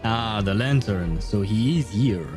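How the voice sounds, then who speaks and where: A man's voice: fairly low pitch, expressive, measured pace, music in the background. A man livestreaming casual reaction commentary on a game, thinking aloud in informal language.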